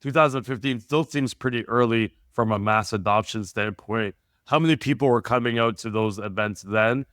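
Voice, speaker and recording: A man's voice: fairly low pitch, normal speed, a bit bright, dry recording, clean studio sound. A man hosting a podcast interview, asking long multi-part questions. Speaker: podcast host.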